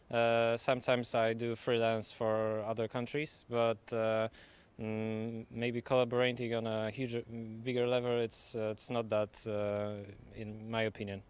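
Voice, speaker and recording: A man from Poland with a smooth, resonant voice, speaking relaxed non-native English. He talks easily and halts now and then. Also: mid-range voice. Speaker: artist, guest.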